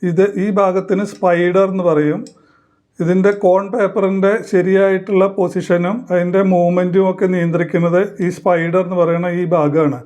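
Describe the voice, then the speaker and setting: A man explaining a hands-on demonstration in halting, short phrases, like a tutorial presenter. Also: high voice, flat delivery, darkish tone.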